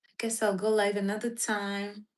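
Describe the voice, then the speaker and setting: A woman's voice: medium pitch, flat delivery, normal speed. A woman chatting casually on a live stream, rambling with lots of fillers as she thinks aloud and reads from a search. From Philippines. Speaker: livestream host.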